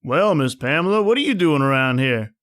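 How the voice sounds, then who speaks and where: A man's voice: fairly high pitch, big pitch swings, fairly quick, a bit bright. A man acting a folksy, nosy small-town grocer in a radio drama, chatty and colloquial. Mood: folksy, prying.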